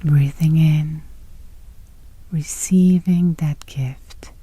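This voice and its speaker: A woman leads a guided meditation in a low, very dark and mellow voice. Her delivery is soft, breathy and close to a whisper, with a gentle, unhurried calm. The sound is dry and intimate. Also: no echo.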